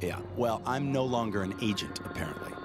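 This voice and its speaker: An actor playing a weary, sardonic ousted male agent in a video game cutscene, with a low-key manner. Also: lively intonation, fairly quick, lightly acted. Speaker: detective. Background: loud music.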